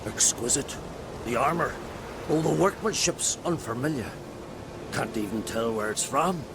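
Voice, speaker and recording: An actor playing a pompous, indignant older druid scholar in a video game, with a theatrical, scolding manner. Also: male voice, mid-range voice, expressive, a little slow, background noise. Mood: curious, grave. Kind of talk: video game cutscene acting. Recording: music and effects behind.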